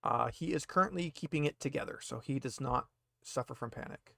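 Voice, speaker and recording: A man's voice: medium pitch, brisk, creaky, a bit bright, no room sound, studio quality. A man acting as game master, casually explaining the rules of a tabletop roleplaying game.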